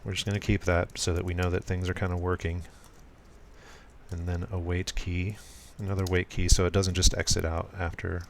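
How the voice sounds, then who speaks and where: A man with a low, flat voice walks through his code in a relaxed live stream, speaking softly and smoothly while correcting himself now and then. Faint keyboard typing and clicking sit behind him. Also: a little hiss or hum. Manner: soft, deliberately smooth and low-key, with frequent ums and self-corrections. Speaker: programmer streaming a live coding session. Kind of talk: casual live-coding walkthrough.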